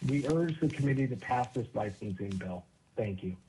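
A man giving measured, persuasive formal testimony to a committee. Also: medium pitch, animated, mellow, a little hiss or hum, poor audio. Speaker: invited testifier.

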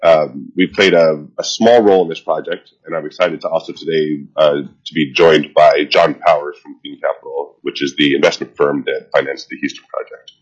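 A man with a head cold, giving a formal presentation over a video call, halting with frequent ums and restarts. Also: very deep voice, steady tone, husky, highs cut off. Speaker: nonprofit CEO presenting to a committee.

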